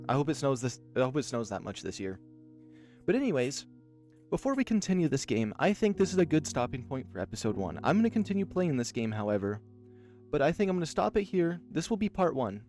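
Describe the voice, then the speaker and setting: A man's voice: mid-range voice, very animated, fairly quick, darkish tone, faint music behind. A man from Oregon hosting a let's play, telling rambling anecdotes in a casual way, full of fillers. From Oregon, USA. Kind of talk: casual storytelling while playing a visual novel.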